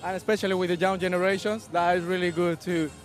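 A man's voice: high-pitched, fairly even intonation, fast, faint music behind. A man taking part in a festival, answering an interview sincerely outdoors. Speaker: festival participant.

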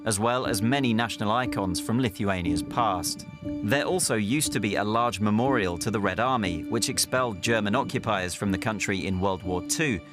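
A man with a lowish, steady voice reads a news documentary script in a measured, even way. A clear music bed plays beneath his words throughout. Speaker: news narrator.

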